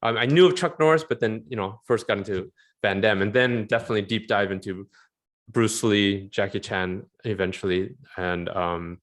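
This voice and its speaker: Low-voiced animated man in his forties. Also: normal speed. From Southern California. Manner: animated, rambling, laughs often. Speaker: interview guest, film concept artist. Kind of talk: casual interview chat.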